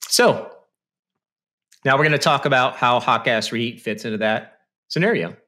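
A man in his thirties giving a relaxed, step-by-step technical presentation over slides, recorded on a studio microphone through a video call. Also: mid-range voice, normal speed. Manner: conversational, explains step by step with frequent "you know" and "okay". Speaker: HVAC presenter. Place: small indoor room. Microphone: studio mic on stand with headphones, video call.

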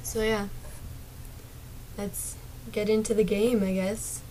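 A young woman streaming a game from her small dark bedroom, chatting casually to viewers with a sore, partly lost voice and drifting into mumbles. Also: medium pitch, flat delivery, slow, a little hiss or hum, poor audio. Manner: soft-spoken, drifts into whispers and mumbles. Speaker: gaming streamer.

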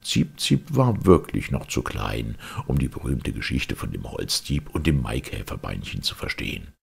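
A man reading a children's story aloud as an expressive narrator. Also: extremely low pitch, normal speed, gravelly, slightly rough. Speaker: storybook reader.

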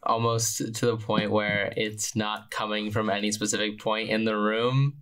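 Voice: medium pitch, level tone, measured pace, fairly bright tone. A player in a tabletop game, chatting and narrating casually.

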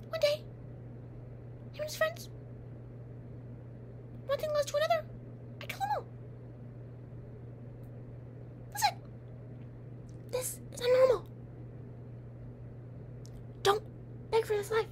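A woman's voice: very high voice, expressive, slow, pauses often, full character voice. A young woman playing a haughty, jealous teen vampire girl in a comedic roleplay, blunt and sarcastic with whispered asides, recorded on a webcam in a dim bedroom. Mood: dramatic. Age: young adult. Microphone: webcam at close range. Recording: noisy home webcam audio.

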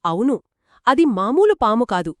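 A woman's voice: on the high side, very animated, moderate pace, no echo, studio quality. A woman telling a children's story conversationally, engaged and dramatic as she speaks to young listeners.

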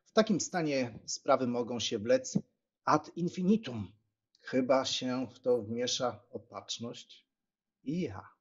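Breezy, joking young squire played by a man, raspy-edged voice, audiobook dialogue on a slightly muffled mic. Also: mid-range voice, expressive, slow. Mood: wry, resigned. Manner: wry, breezy. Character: young Polish gentleman, joking, wry brother. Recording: slightly dull, lo-fi microphone sound.

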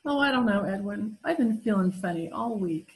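Melodramatic wife female actor, animated and expressive, genteel but put-upon, for vintage radio comedy. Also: medium pitch, moderate pace, lightly acted. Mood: plaintive. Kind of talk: amateur old-time radio comedy recreation. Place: room at home, video call. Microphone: video call.

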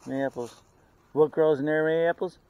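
A man's voice: fairly high pitch, steady tone, normal speed, no echo, narrow, tinny audio. Middle-aged man chatting on a forest hike. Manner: chatty, narrates to viewers while hauling. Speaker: vlogger filming trail work. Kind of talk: casual chat while working. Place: outdoors in a steep wooded forest. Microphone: body-worn action camera. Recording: outdoor camera mic with loud noise.

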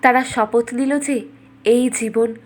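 High-voiced woman narrating a fairytale. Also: steady tone, takes it slowly. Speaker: storyteller narrator. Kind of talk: scripted fairytale narration for a cartoon.

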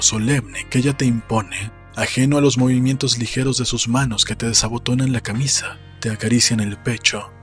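A man narrates a novel in a measured, solemn way. His voice has a middling pitch and is close on the mic, with music underneath. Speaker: audiobook narrator. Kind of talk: scripted literary narration. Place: small room indoors. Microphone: desk microphone close to the mouth, headphones. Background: soft music.

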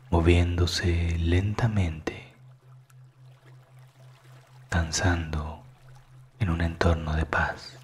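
A man narrating a scripted guided meditation in a calm, soothing manner that is hushed at times. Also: sits low, husky.

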